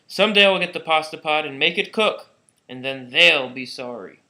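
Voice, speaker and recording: A man's voice: fairly high pitch, lively intonation, normal speed, pushed and bright. A man voicing a big, careless, proud village helper in an Italian storybook, speaking emphatically. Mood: indignant.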